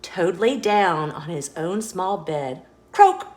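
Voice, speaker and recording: A woman reading a children's picture book aloud as an expressive, measured storyteller. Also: on the low side. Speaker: storyteller, host of a children's read-along show. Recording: roomy echo.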